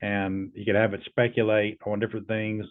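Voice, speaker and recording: Low-key man, low and fairly even. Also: a little fast. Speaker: video host testing an AI voice. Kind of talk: casual explanatory chat and questioning. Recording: narrow tinny phone-like sound.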